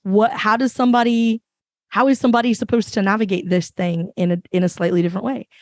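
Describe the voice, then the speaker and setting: Low-voiced woman, emphatic panelist. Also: fairly even intonation, quick pace, a little mellow, no room sound, studio quality. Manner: passionate, emphatic, long run-on points. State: recovering from illness, voice a bit rough. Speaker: podcast panelist. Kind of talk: casual panel discussion.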